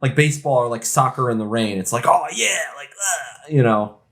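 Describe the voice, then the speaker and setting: A man's voice: medium pitch, lively intonation, moderate pace, fairly bright tone. A man co-hosting a podcast, chatting casually in an enthusiastic, slangy way. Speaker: co-host of a craft beer podcast.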